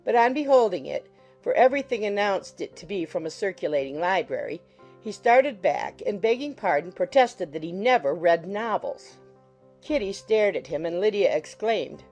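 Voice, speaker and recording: A woman's voice: mid-range voice, darkish tone. A woman reading scripted narration from a classic novel as an audiobook narrator.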